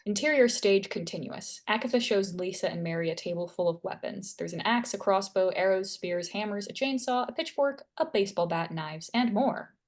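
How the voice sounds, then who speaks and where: A woman's voice: mid-range voice, moderate pace, hardly any pauses. A woman reading scripted stage directions as the narrator of a screenplay table read.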